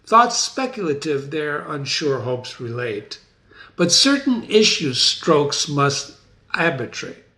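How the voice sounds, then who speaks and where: A man voicing a steadfast army general with solemn, deliberate declamation in a Shakespearean stage reading. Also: a little high, very animated, pushed and bright, roomy sound, exaggerated voice. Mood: solemn, resolute.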